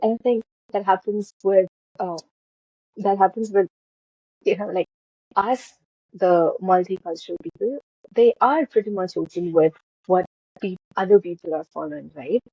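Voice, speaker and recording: A woman hosting a podcast who asks long, halting questions full of "uh" and "you know". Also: mid-range voice, husky, no room sound. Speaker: podcast host. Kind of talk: interviewing a guest. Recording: dull, dry lo-fi audio.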